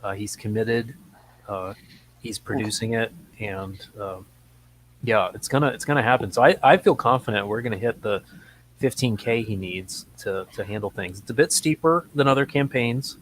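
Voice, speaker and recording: A man with a fairly low voice co-hosts a loose, casual chat. He talks haltingly, stopping to search for words with plenty of ums. Some noise sits audibly behind his voice. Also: fairly bright tone, low-quality recording. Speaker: talk show co-host.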